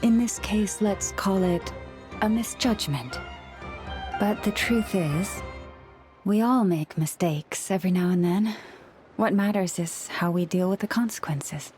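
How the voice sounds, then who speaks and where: Game cutscene role: actress as a gentle antlered moon maiden, solemn and formal, very unhurried delivery, mid-pitched voice. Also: female voice, faint music behind, close to an everyday voice. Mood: gentle, earnest. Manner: formal, serene, measured storytelling. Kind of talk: scripted video game cutscene acting.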